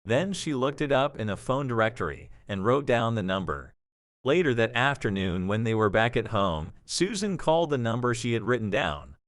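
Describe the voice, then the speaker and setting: A male narrator reads a scripted story with an expressive, varied delivery. His voice sits at a medium pitch. The recording is dry, with no room sound. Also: dry recording.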